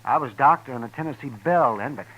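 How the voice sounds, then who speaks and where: An actor playing an evasive, nervous older frontier doctor in a 1950s radio drama, hesitant and stammering. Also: male voice, mid-range voice, fast, a bit bright, slight background noise, natural voice, rough recording.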